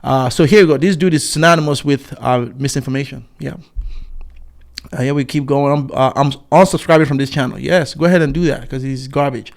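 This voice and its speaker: A man's voice: medium pitch, brisk, creaky. A man in his forties talking casually as a YouTube commentator, reading out viewer comments with scorn and many fillers and restarts. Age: 40s.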